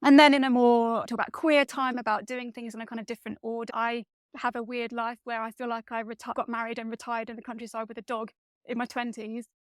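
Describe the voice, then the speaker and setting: A woman's voice: on the high side, flat delivery, fast talker, clear, resonant tone, no room sound, studio quality. A British woman around forty, an author chatting casually as she is interviewed. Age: late 30s to 40s. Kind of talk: casual conversational chat.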